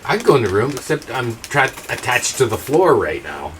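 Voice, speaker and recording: A man's voice: medium pitch, sing-song, moderate pace, distant mic, noisy background. A man chatting casually as a player at a tabletop role-playing game.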